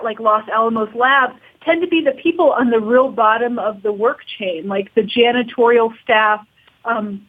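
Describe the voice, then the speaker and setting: A woman's voice: on the high side, phone-quality sound. A woman being interviewed as a documentary producer, talking informally and loosely as she works through her thoughts.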